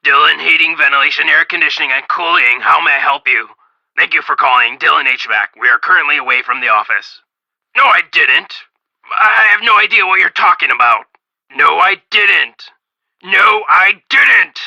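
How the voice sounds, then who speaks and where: A man's voice: on the high side, animated, normal speed, vocal fry, sharp tone, dry recording, dull audio, full character voice. A man playing a shifty, curt air conditioning businessman in a video game. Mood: businesslike.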